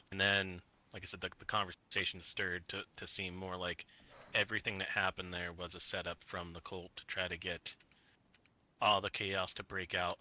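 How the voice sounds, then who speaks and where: A man's voice: low-pitched, pushed and bright, slight background noise. A man talking in character during a survival roleplay game, rambling and swearing as he trails off mid-thought. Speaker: roleplay player.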